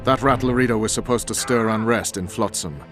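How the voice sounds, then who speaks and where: An actor playing a hard, blunt army captain and spymaster in a video game, sardonic and menacing. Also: male voice, lowish voice, brisk, lightly acted. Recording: game music behind the voice.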